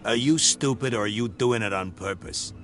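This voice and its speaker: A man's voice: on the low side, normal speed, fairly bright tone, a little hiss or hum, lightly acted. An actor voicing a nervous but rude male bootlegger in a video game, blunt and jumpy. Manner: blunt and jittery.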